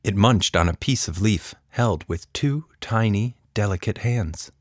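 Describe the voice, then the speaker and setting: A man's voice: fairly low pitch, a little slow, no room sound, studio quality. A man narrating a scripted children's story as a storyteller.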